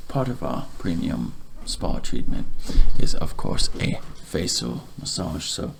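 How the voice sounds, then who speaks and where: A man speaking softly in a breathy half-whisper, gently soothing and attentive, as a barber in an ASMR roleplay. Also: extremely low pitch, very animated, takes it slowly, very noisy. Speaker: ASMR performer playing a barber.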